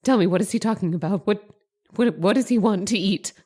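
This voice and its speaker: A woman's voice: medium pitch, brisk, mellow, lightly acted. A woman playing a terrified, clinging, pleading woman in old-time radio horror style, repeating words as she goes. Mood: panicked.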